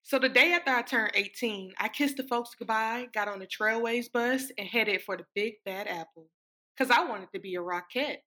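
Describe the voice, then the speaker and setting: A woman's voice: a little high, moderate pace, bright, forceful tone. A woman playing a brash, wisecracking young dancer from a small town in a sassy, sardonic stage monologue. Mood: sardonic, indignant. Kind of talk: dramatic stage monologue reading.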